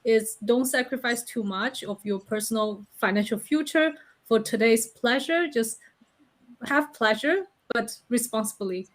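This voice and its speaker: Woman, co-host, candid, laughs often, casual podcast chat, somewhat high voice. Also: measured pace. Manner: candid, laughs while talking.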